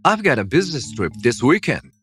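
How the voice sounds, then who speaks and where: A brazen, callous husband acted by a man in a manga dub. His medium-pitched voice leaps widely in intonation. There is faint music behind him. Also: big pitch swings, fairly quick, a bit bright. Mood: defensive. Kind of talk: dubbed manga drama acting.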